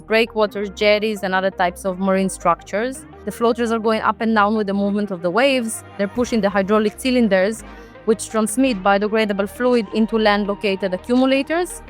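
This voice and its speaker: A woman's voice: medium pitch, normal speed, soft background music. A woman in her thirties from Israel, talking casually as an interview guest with many fillers and restarts. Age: 30s. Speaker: guest, company founder.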